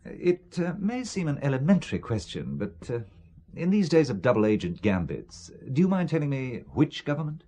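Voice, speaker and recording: A man's voice: medium pitch, very animated, moderate pace, mellow. A man with a British accent playing a wary, sardonic businessman caught up in espionage, dry and questioning in an audio drama. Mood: sardonic, suspicious. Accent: British English.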